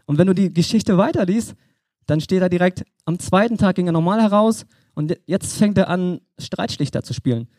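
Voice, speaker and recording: A man's voice: high voice, expressive, moderate pace, darkish tone, no room sound, studio quality. A young man from Germany preaching an informal sermon, talking conversationally and addressing the listener directly.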